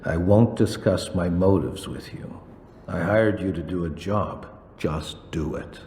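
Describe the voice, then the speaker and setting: Dark-voiced, cold, calculating villain. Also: male voice, low-pitched. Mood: curt, menacing. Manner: measured, formal, condescending. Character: cold calculating male crooked cop villain, formal and menacing. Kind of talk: video game voice acting. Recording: roomy echo, faint background noise.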